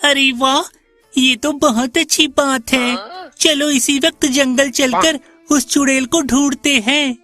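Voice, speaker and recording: Female performer as greedy thieving village wife, animated tale; commanding, high-pitched, deliberately slow. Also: soft background music. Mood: pleased. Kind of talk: animated moral-tale cartoon dialogue.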